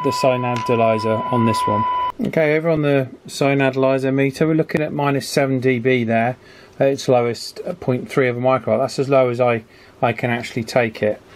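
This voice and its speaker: A man giving a casual hands-on electronics tutorial, thinking aloud informally as he works at an indoor workbench. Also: mid-range voice. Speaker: electronics hobbyist demonstrating radio alignment. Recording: clear background noise from workbench.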